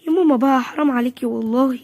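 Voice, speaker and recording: A woman's voice: sits high, racing, a little mellow. A woman voicing a sulky, grumbling teenage boy, speaking with an Egyptian accent and complaining constantly. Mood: whiny. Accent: Egyptian Arabic. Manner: whiny, complaining.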